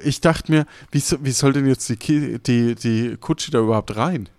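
A man hosting a podcast, recapping in a casual, halting and rambling conversational way with plenty of agreeing. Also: medium pitch, darkish tone, dry recording. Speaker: podcast host recapping an improvised game.

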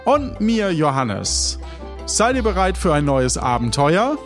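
A man's voice: on the high side, sing-song, takes it slowly, soft background music. A man hosting an improvised audio adventure show, introducing the story in a presenter's storytelling style.

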